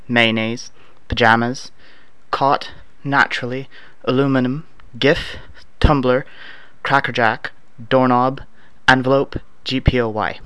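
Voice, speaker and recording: A man's voice: mid-range voice, clean tone, no echo. Casual Canadian man in his thirties. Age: 30s. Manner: relaxed, offhand, dry humor with many filler uhs. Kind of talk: casual accent-tag recording, reading prompts aloud. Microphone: no proper microphone, home setup.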